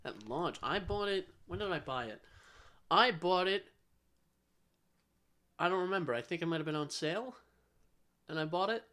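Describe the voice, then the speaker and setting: A man's voice: sits high, expressive, normal speed, long pauses, pushed and bright. A man co-hosting a podcast in casual chat, rambling and sarcastic, with an occasional stutter. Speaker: podcast co-host.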